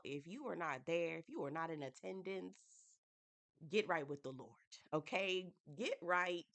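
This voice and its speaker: A woman with a low voice and a stuffy, congested nose from a head cold. She recaps a reality show for her viewers in a chatty, playful way, full of slang and asides. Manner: animated, playful, Southern-inflected slang, addresses viewers as y'all. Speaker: YouTube reality TV reviewer. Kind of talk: casual chatty TV review.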